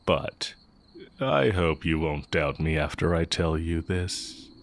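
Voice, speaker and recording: Guy acting as super deep slow talking evil magic teacher, tender n sly, romance audio roleplay, bit of background noise w crickets. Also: full character voice. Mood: confiding, earnest. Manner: measured, formal, deliberate phrasing. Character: heretic dark mage, male former teacher, tender and sly.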